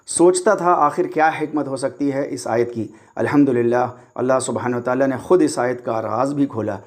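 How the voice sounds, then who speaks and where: A man's voice: medium pitch, darkish tone. A man lecturing on religion in a didactic way, explaining step by step and repeating key points for emphasis.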